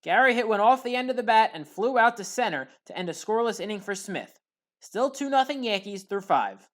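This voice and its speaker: A woman delivering scripted play-by-play narration as a sports highlights commentator. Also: expressive, fairly quick.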